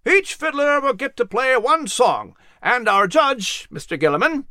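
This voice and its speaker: A man voicing a jovial, showy fiddle contest announcer in a storybook, calling out loudly to a crowd. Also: extremely high pitch, no room sound, clean studio sound, pitched above the performer's own voice, heavily acted.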